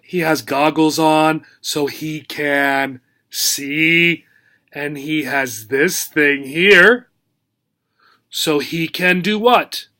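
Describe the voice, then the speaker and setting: A man reads to young children as their teacher, taking his time over simple, short phrases. He prompts his listeners with questions in an animated, instructive way, at an unhurried pace. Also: on the high side. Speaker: teacher reading a picture book to young learners. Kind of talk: children's read-aloud lesson, asking questions.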